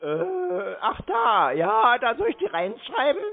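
A slow, gravelly voice on a muffled phone call. The speaker sounds naive and confused, repeating things literally, and the pitch wanders widely as they plod along. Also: wildly swooping pitch, a crowd in the background. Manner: confused, literal, plodding, repetitive. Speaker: prank callee stringing along a scam caller.

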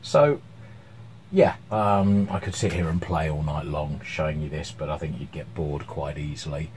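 Casting: man, middle-aged, deep pitch, hesitant hobbyist demonstrating at his bench, some background noise. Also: normal speed, darkish tone, poor audio. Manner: hesitant, unscripted, thinking aloud. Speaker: electronics hobbyist presenting his repair. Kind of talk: informal workbench demonstration. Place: small cluttered indoor workshop at home. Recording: clear background noise, room ambience.

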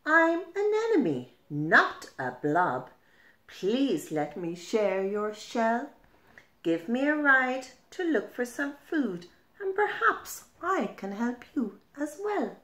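A proud little sea anemone from a storybook, as a woman reads it aloud. It is persuasive and quick to take offence. The speech is deliberate and emphatic, in an echoey room. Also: fairly high pitch, very animated, fairly bright tone, full character voice. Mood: pleading. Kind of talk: storybook character voice. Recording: roomy indoor echo.

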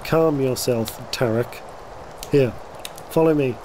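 An actor playing a dismissive, condescending recruiter in a fantasy audio drama, speaking curtly. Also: male voice, medium pitch, slow pace, darkish tone, a little hiss or hum, natural voice, poor audio. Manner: curt, condescending. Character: dismissive, condescending male recruiter at ward house.

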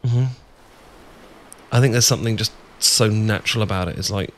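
A man acts out an adorkable young British friend with a crush in an ASMR roleplay. His voice sits a little low, and he rambles bashfully, stammering and hesitating as he goes. Ambient noise is audible behind him. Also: normal speed, a bit bright, natural voice. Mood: relaxed, chatty. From Britain. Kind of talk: ASMR boyfriend roleplay, improvised-sounding casual chat. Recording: clear background noise with ambience.

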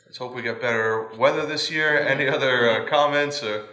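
A man serving as mayor, chairing a town council meeting in a relaxed, informal way with frequent "you know"s. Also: medium pitch, a little fast. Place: council chamber, indoors. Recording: roomy hall with faint noise.

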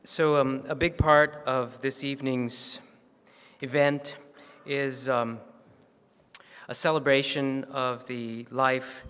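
A middle-aged man introduces the evening from a podium, measured and pausing between phrases. His voice is smooth and unhurried. The reception hall lends it a long reverb. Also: mid-range voice, level tone, fairly bright tone. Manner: measured, with pauses and fillers. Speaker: event presenter. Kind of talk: formal introduction. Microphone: podium microphone.

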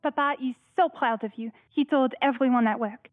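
A woman's voice: high voice, normal speed, narrow, tinny audio, soft background music, close to an everyday voice. An actress playing a lonely, earnest young wife and mother in an audio drama, speaking with feeling. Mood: excited, affectionate.

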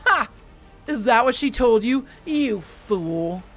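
A woman voicing a furious, cruel man leading a band of hunters, shouting and menacing. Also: mid-range voice, unhurried, faint music behind, lightly acted. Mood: scornful.

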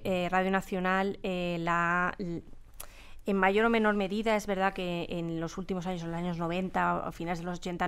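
A middle-aged woman with a Castilian Spanish accent, giving halting, explanatory answers in a studio interview. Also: fairly low pitch, clear, resonant tone. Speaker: radio drama sound designer, interview guest. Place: radio studio.

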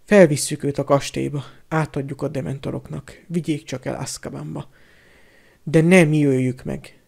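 A man's voice: fairly high pitch, steady tone, creaky, darkish tone. A man voicing a resolute, indignant teenage boy in an audiobook, speaking firmly and breathlessly. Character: resolute, disgusted teenage boy sparing an enemy. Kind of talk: audiobook character acting.

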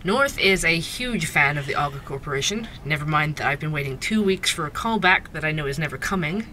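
Actor as wry podcast investigator, bright male voice. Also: a little high, lively intonation, brisk, a little hiss or hum. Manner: dry, wry. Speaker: podcast host and narrator. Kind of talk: scripted audio drama narration.

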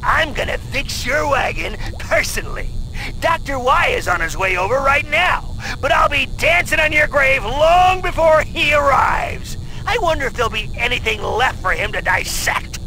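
An actor playing a belligerent, theatrical police chief in a video game, bombastic and threatening. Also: male voice, extremely high pitch, animated, moderate pace, sharp tone, exaggerated voice, low-quality recording. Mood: furious, gloating. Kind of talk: video game cutscene acting. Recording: music behind the voice.

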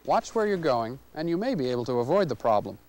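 A man in his thirties narrating a canoeing lesson, explaining strokes in a clear, measured way. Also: mid-range voice, sing-song, darkish tone. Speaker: canoeing instructor. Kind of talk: instructional narration.

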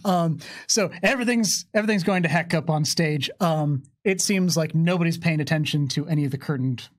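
An American man in his thirties running a tabletop game, narrating and explaining rules in an animated way. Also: on the high side, a little fast. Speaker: game master. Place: small curtained studio, indoors. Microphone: desk microphone on boom arm.

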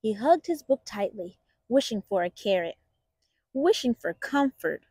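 Slow, animated woman reading a storybook. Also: a little high, slightly rough, no room sound. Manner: expressive, deliberate storyteller. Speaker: storytime host reading aloud. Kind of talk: children's picture book read-aloud.

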